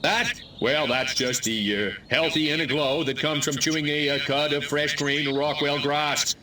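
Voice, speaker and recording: An actor playing a smarmy small-town mayor who is an alien in disguise, giving glib, stammering speeches in a comedic video game. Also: male voice, a little high, fairly even intonation, a little slow, bright, forceful tone, distant mic, a little hiss or hum. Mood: glib, evasive. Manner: glib, stammering showman patter.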